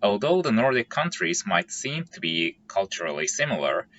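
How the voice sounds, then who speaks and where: A man's voice: medium pitch, sing-song, creaky, fairly bright tone, dull audio, slight background noise. A man from Japan, giving a careful, methodical scripted explainer as a vlogger. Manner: careful, measured and methodical, lays out points in order.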